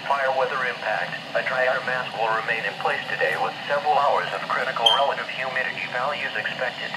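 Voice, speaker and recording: A computerized man's voice reads a scripted marine weather forecast as a radio announcer, in a monotone, clipped recitation. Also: medium pitch, fairly quick. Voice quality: synthetic computerized voice. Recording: very noisy static-filled weather radio broadcast.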